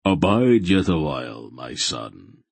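A stern, ancient god as a male narrator plays him, speaking in a very low, slightly rough voice. He is grave and majestic, issuing archaic commands slowly and solemnly in a fantasy storybook. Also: very animated, dry recording, exaggerated voice. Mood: solemn, approving. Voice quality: soft, solemn voice.

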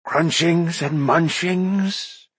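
Gurgi, a whimpering shaggy creature. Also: male voice, husky, no room sound, exaggerated voice. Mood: groveling whine. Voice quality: high, slow, whining. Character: whimpering shaggy male forest creature, groveling.

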